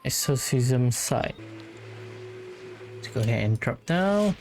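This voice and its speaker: A man giving casual, hesitant let's play commentary on a video game, speaking in a relaxed way. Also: medium pitch, takes it slowly, soft background music. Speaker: gamer commenting on a playthrough.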